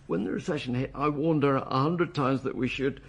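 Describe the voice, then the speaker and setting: A man's voice: medium pitch, brisk, close to an everyday voice. A man acting in a radio drama as a desperate suspect under questioning, faltering and defensive as he protests. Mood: pleading, exasperated.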